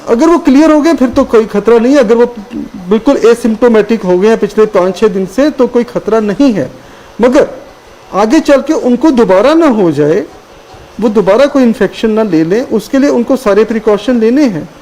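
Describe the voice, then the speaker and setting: A man on a phone-in panel explaining medical precautions calmly, mixing terms his speech. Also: very high-pitched, normal speed, low-quality recording. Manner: calm, instructive, repeats key advice. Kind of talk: explanatory advice on a live call-in show.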